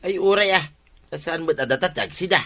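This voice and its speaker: A man's voice: a little high, fairly quick, a bit bright. An actor with an Ilocano Filipino accent playing a pushy, scheming male snail vendor in a comedy radio drama.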